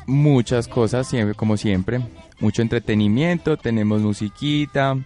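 A man's voice: mid-range voice, unhurried, a little mellow, soft background music. A man chatting casually as a radio co-host. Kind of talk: casual chat.

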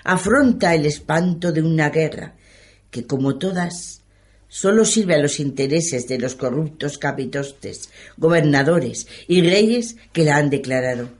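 A woman's voice: low voice, lively intonation, unhurried, darkish tone. A woman narrating a radio play in a dramatic, scripted storytelling style.

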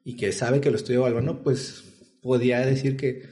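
A man's voice: medium pitch, normal speed. A man who hires programmers, chatting casually on a panel and explaining with everyday examples. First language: Spanish. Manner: relaxed, explains with examples, many fillers. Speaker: tech interviewer on a panel.

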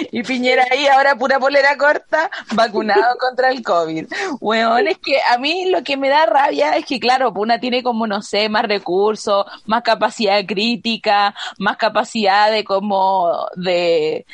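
A woman's voice: fairly high pitch, no echo. A young Chilean woman co-hosting a podcast, chatting casually and ranting expressively in heavy slang with a Chilean accent. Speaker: podcast co-host. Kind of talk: casual chat.

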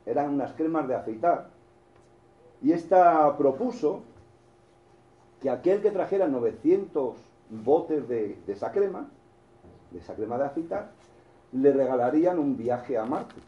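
A man's voice: fairly high pitch, lively intonation, unhurried, pauses often, reverberant, muffled recording. A man with a Spanish accent from Spain, preaching a sermon in a storytelling way, with restarts and asides to the congregation.

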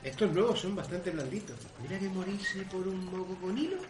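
High-voiced blunt warrior, played by a man. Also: animated, brisk, recorded from a distance, noisy background. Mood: mocking. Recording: echoey, off-mic, music behind.

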